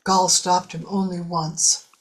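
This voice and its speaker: A woman's voice: fairly low pitch, flat delivery, slow. A woman reading scripted narration for a radio drama as its narrator.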